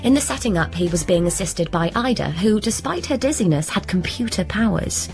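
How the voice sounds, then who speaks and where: An actress as a brisk British woman in a radio comedy drama, deadpan and self-assured. She narrates with dry, literal wordplay over prominent music. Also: medium pitch, natural voice. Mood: wry narration. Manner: deadpan, wordplay-loving, assertive. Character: brisk, dry-witted, self-appointed guardian of London, narrating.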